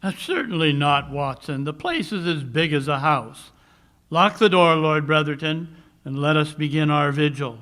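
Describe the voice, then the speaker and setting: A man acting a calm, commanding master detective in a 1940s-style radio drama, crisp and dismissive. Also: a little high, moderate pace.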